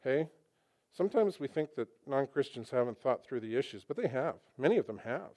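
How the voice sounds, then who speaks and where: A man preaching a sermon to a congregation on a microphone, animated and conversational. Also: medium pitch, brisk. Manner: animated, conversational, with emphatic asides.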